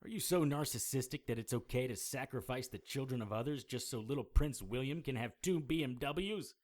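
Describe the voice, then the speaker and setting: An actor playing a hard-boiled, sardonic occult investigator in a noir audio drama, blunt and world-weary. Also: male voice, medium pitch, brisk, a little mellow, natural voice. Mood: scornful, exasperated.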